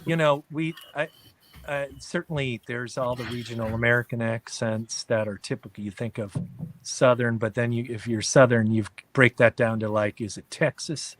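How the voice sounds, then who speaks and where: A man on a casual group voice call, curious and asking questions. He talks in a halting way, filling gaps with ums and restarts. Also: mid-range voice, fairly even intonation, a little hiss or hum.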